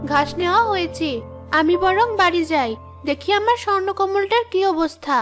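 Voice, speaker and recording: A humble, anxious young village woman in a cartoon fairy tale. Her voice is very high and almost childlike, earnest and pleading, with music underneath. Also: normal speed. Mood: worried.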